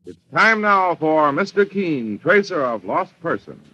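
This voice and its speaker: A man's voice: a little high, very animated, measured pace, dull audio, very noisy. A man with an American accent announcing from a script in vintage radio style. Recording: old-time radio recording with music.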